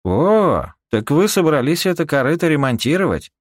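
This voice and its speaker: Мужчина озвучивает суетливого, говорливого корабельного инженера в аудиокниге, взволнованно и по-разговорному. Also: male voice, fairly high pitch, very animated, normal speed, no room sound, clean studio sound, voice pushed up. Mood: curious, incredulous. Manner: agitated, wheedling, colloquial. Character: fussy, haggling male ship engineer, excitable and talkative.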